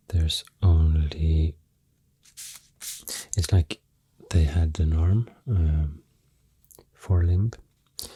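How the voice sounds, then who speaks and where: A woman with a Swedish accent doing soft-spoken ASMR, hushed and halting, with a deep, breathy, often whispery voice close to the mic. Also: no echo, rough recording. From Sweden. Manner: slow, hushed, halting with long pauses. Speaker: ASMR host. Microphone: close microphone. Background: soft pencil and clicking sounds.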